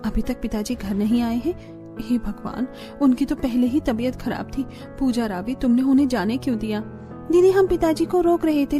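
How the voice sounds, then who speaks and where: Woman as high-voiced caring young daughter-in-law. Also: dark tone, lightly acted. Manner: earnest, concerned. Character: caring, anxious young daughters-in-law in a village family cartoon.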